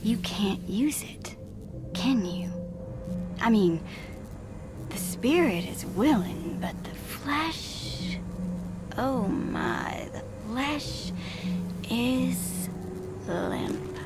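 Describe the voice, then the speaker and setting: A slow, creaky, taunting villainess. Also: female voice, fairly high pitch, expressive, heavily acted. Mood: taunting, sultry. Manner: sultry, mocking, drawn-out teasing. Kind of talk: dramatic game cutscene dialogue. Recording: loud music under the voice.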